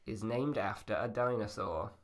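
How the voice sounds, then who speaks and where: A man's voice: on the low side, moderate pace. A man hosting a podcast in a casual, rambling and self-deprecating way. His voice sounds slightly feminine. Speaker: podcast host. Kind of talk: casual chat.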